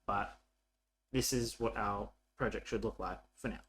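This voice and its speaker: A man's voice: lowish voice, a little slow, fairly bright tone. A man narrating a casual coding tutorial as an instructor, informal and thinking aloud as he works.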